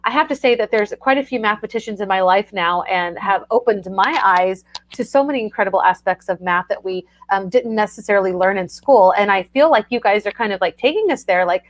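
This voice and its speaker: A woman's voice: medium pitch, brisk, hardly any pauses. A woman hosting a casual book chat over a video call, effusive and talking with many fillers.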